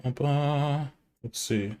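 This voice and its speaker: A man's voice: mid-range voice, extremely slow, dark tone. Nasal-voiced male streamer. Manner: rambling, talks to himself and greets chat. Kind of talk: casual live-stream chat while setting up.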